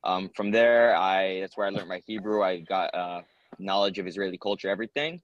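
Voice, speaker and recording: A man's voice: sits low, brisk, no echo, muffled recording. A young American man answering interview questions in an earnest, rambling way, with many ums and uhs. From United States. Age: young adult. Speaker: interviewee, soldier.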